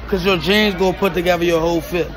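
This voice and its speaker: A man's voice: high-pitched, steady tone, fast talker, crowd noise, low-quality recording. A young man vlogging on a phone camera in a shopping mall, hyped and slangy, talking straight to viewers. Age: young adult. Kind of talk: casual vlog chat. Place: indoor shopping mall, stores. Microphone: phone camera held at arm's length. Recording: music behind, phone audio.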